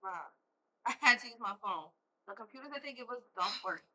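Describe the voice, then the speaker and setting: A woman's voice: fairly high pitch, brisk, pushed and bright. A woman playing a defiant, exasperated sixteen-year-old American schoolgirl in a stage play, arguing in slangy, defensive speech.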